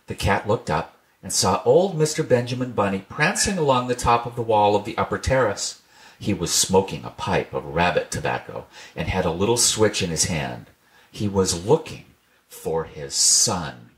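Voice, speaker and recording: A man reading a classic children's storybook aloud in a gentle, measured storytelling manner. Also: lowish voice, big pitch swings. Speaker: reader.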